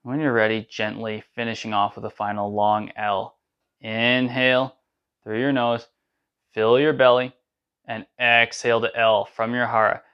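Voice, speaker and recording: A man leading a guided sound meditation, speaking in calm, unhurried instructions between drawn-out chanted syllables. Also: medium pitch, clear, resonant tone, lo-fi sound. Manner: slow, soothing guidance with drawn-out chanted syllables.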